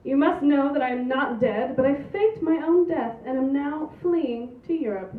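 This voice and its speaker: A woman's voice: sits high, moderate pace, natural voice. A woman playing a brazen, scheming mother reading out a letter in a live comedy script read. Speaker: actress in a script table read. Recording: distant, echoey, phone-like thin sound.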